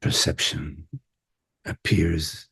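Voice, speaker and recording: Very slow, husky, low-voiced man. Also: little pitch movement, a bit bright. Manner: unhurried, contemplative, long pauses between short phrases. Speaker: meditation teacher. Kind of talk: guided meditation, spoken softly to listeners.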